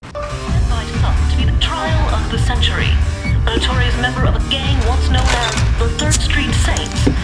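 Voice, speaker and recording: Casting: woman to play slick TV correspondent for a game, crisp and dramatic, raised pitch, heavy music underneath. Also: lightly acted. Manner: crisp, dramatic news delivery. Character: polished female television news reporter. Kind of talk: scripted news report in game.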